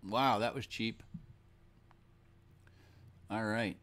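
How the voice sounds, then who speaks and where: Slow, mumbling man streaming a board game. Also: on the low side. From Boston. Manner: muttering to himself while playing, relaxed. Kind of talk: casual game-stream chat. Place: small room at home.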